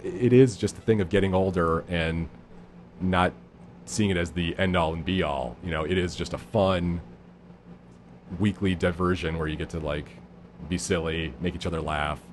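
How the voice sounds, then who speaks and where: A man in his thirties with a low voice, a guest in a casual podcast chat, talking in a laid-back, self-deprecating, rambling way. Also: fairly even intonation, moderate pace, a little hiss or hum. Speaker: improv guest.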